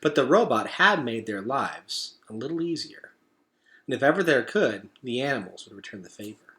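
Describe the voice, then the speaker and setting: Guy reading a kids book out loud, teacher style, very animated, medium pitch. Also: normal speed. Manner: expressive, storytelling delivery. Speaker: teacher reading aloud to pupils. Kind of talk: expressive storybook narration.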